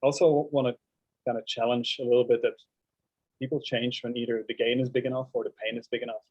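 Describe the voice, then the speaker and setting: A man from Belgium speaks earnestly as a panelist, often repeating words as he works through his point. His delivery is flat and monotone. The recording sounds dull and dry. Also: medium pitch, quick pace, dry recording. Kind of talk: panel discussion.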